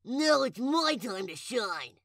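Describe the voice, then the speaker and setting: A woman voicing an earnest, eager young monster child in a comic dub, with expressive delivery. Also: fairly high pitch, slow, gravelly, no echo, clean studio sound, full character voice. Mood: playful. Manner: expressive, exclamatory.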